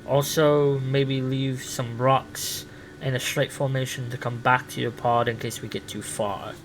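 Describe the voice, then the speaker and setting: A man playing a quirky time-travelling adventurer and helpful guide, halting as he thinks aloud in improvised role-play. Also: medium pitch, little pitch movement, noisy background, close to an everyday voice.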